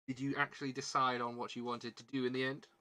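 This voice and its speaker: A man with a southern British accent and a bright, forward voice at an ordinary male pitch. He talks as a livestream host, casually rambling to his viewers. His manner is self-deprecating, and he often repeats himself mid-thought. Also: flat delivery, fast talker. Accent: southern British English. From southern England. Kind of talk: casual livestream chat while building a model kit.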